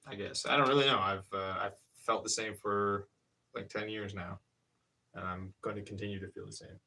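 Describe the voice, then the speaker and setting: A man's voice: on the low side, steady tone, moderate pace, gravelly, bright, forceful tone. A man in his thirties chatting casually on a live stream while working at a pottery wheel, rambling and hesitant as he explains each hands-on step. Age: 30s. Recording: voice picked up from a distance.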